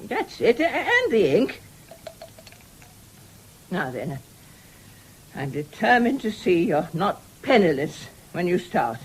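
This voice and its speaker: Husky, imperious older mistress. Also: female voice, lowish voice, wildly swooping pitch, takes it slowly, pauses often, exaggerated voice. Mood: brisk, bossy. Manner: brusque, commanding, haughty. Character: imperious, sharp-tongued but generous older mistress of the house. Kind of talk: radio drama acting, 1970s.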